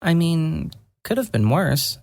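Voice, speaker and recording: Narrator doing a young mage girl, soft murmury higher voice, gentle, careful explainer, bit teasing, fantasy story, dry sound. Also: big pitch swings, slow pace, no room sound. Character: gentle young woman mage, earnest guide, softly teasing. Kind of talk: fantasy audio story character.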